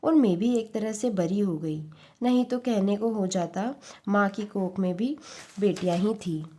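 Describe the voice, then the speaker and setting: A woman voicing an earnest, protective middle-aged mother in a story reading, speaking in a measured, advising way. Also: medium pitch, dark tone, natural voice. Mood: relieved.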